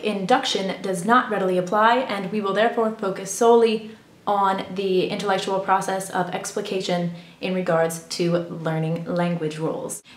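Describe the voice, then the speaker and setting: A woman vlogger with English as her first language, giving a formal, precise talk on language learning to her viewers. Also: mid-range voice. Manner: formal, precise, academic wording. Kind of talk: scripted explanatory talk to camera. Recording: echoey room.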